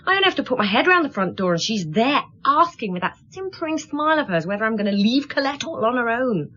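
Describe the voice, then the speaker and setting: A woman's voice: on the high side, very animated, fast, fairly bright tone, dull audio. An actress playing a prickly, defensive married woman in a radio drama, curt and indignant under police questioning.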